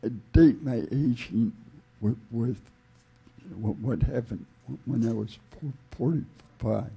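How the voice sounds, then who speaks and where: Gravelly, husky man in his seventies. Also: medium pitch, dark tone. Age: 70s. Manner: halting, repeated starts. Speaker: conference attendee. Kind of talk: self-introduction.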